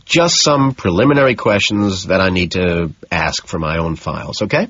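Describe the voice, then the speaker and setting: A man's voice: low-pitched, normal speed, a bit bright, slightly rough, no echo, lo-fi sound. A man voicing a polite, tentative investigator who asks probing questions, in audiobook character dialogue. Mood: businesslike.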